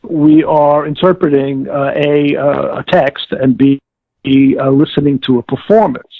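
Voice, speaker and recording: An older man with a gravelly voice explaining things in an interview, halting and working methodically through his points. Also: fairly high pitch, fairly even intonation, no room sound. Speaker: audio director-producer, interviewee. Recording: thin phone-like line.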